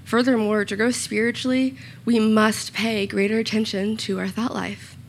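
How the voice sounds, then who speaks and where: A young woman giving a short church sermon with a slightly raspy voice, earnest and personal, with small self-conscious asides. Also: on the high side, flat delivery, normal speed, a little hiss or hum. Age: young adult. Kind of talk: sermon with scripture reading and prayer. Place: church room.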